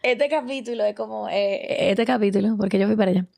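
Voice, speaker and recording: A woman's voice: mid-range voice, brisk, vocal fry, dark tone. Playful, affectionate woman podcast host. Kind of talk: casual podcast chat.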